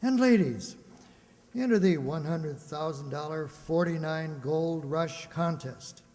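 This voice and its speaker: A man's voice: fairly high pitch, slow pace, a little mellow. A man playing an emphatic, salesmanlike announcer reading a 1940s-style radio commercial. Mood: persuasive. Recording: hall-like, long reverb.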